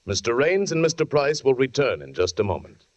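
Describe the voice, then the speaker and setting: A man's voice: mid-range voice, sing-song, a little fast. A man announcing formally as a 1940s radio show announcer. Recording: 1940s radio, loud music behind.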